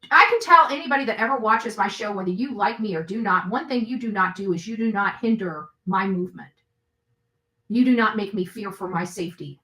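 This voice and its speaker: Bright-voiced, blunt woman in an echoey room. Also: medium pitch. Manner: blunt, emphatic, repeats phrases for emphasis. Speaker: host of her own online show. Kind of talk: casual storytelling commentary to viewers.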